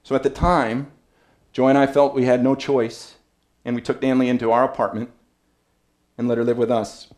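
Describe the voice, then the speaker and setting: A man in his thirties giving a keynote speech at a podium, earnest and measured as he reads from his notes to an audience in a banquet room. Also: medium pitch, level tone, darkish tone. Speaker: keynote speaker. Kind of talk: prepared speech read from notes.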